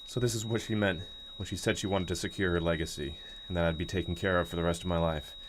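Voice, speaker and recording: A male actor plays a desperate grown son in a radio thriller, framed for murder and bent on revenge. His low voice is overwrought and prone to stammer under pressure. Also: fairly even intonation, quick pace, background noise, close to an everyday voice. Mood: resigned.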